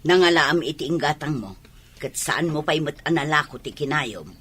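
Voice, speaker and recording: Husky, nagging village mother, acted by a woman. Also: low-pitched, expressive, moderate pace, fairly bright tone, exaggerated voice. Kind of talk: Ilocano radio drama acting.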